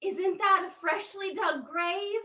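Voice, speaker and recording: A woman puts on an anxious small-town woman for a radio play, very high and bright. She is earnest and dramatic, drawing out her words. The audio is slightly muffled. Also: slow pace, full character voice. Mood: alarmed, wary. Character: alarmed small-town colonial New England woman. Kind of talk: old-time radio drama reading.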